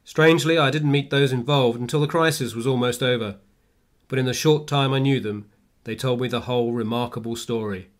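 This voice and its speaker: An actor playing a former Victorian reporter, solemnly narrating a radio play in measured, formal speech. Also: male voice, medium pitch, steady tone, lightly acted. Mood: measured, reflective. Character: male former reporter recounting a true Victorian adventure.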